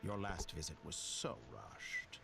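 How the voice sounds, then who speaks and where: Deep, smug villain scientist. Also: male voice, slow, gravelly, noisy background, exaggerated voice. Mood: smug, coaxing. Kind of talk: video game voice acting.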